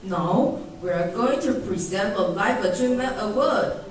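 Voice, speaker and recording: A woman playing a measured, consoling award ceremony announcer in an amateur radio drama. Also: medium pitch, animated, slight background noise, close to an everyday voice. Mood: formal. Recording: very echoey, distant, music behind.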